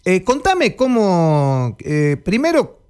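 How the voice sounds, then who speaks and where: A man's voice: high-pitched, huge pitch swings, slow, a little mellow, clean tone. Argentine man hosting casual radio. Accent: Argentine Spanish. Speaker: radio host. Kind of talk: casual, bantering radio interview.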